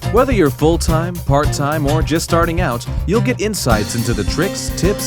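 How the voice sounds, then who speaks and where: A man reading a scripted promotional podcast intro as an announcer. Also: fairly high pitch. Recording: loud guitar music bed. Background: guitar music.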